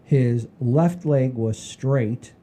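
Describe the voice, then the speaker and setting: A man's voice: mid-range voice, extremely slow, warm tone. A man in his sixties hosting a casual comedy podcast, telling stories in an emphatic, repetitive, freely swearing way. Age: 60s. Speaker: podcast host. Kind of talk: casual comedic storytelling chat.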